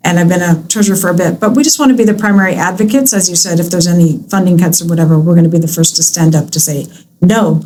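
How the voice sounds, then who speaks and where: A woman's voice: lowish voice, fast talker, warm tone, poor audio. A woman in her fifties from California, chatting as a podcast guest, talking freely with plenty of likes and ums and laughing easily. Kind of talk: casual interview chat.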